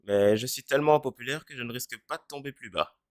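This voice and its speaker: A performer voicing a cynical, self-deprecating teenage boy in a French fan dub who speaks in a deadpan way. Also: mid-range voice, quick pace, dry recording, studio quality, natural voice. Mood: wry. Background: faint music.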